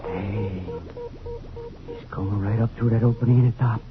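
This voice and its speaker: A man playing a jittery, frightened lookout in an old-time radio drama, with excitable, urgent delivery. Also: lowish voice, moderate pace, noisy background, close to an everyday voice. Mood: uneasy wonder. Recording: dull old radio recording.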